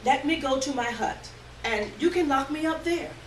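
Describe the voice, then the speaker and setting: A woman's voice: high voice, normal speed, voice pushed up, lightly acted. A woman voicing a frightened old village woman, wary and pleading, in a dramatic story reading. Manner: pleading, careful. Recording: distant roomy live recording, faint noise.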